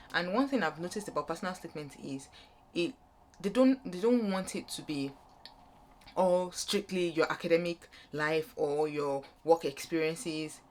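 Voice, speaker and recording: Nigerian woman rambling over clear background noise. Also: deep voice. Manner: rambling, repeats and rephrases points. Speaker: vlogger giving student application advice. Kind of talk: informal explainer, unscripted.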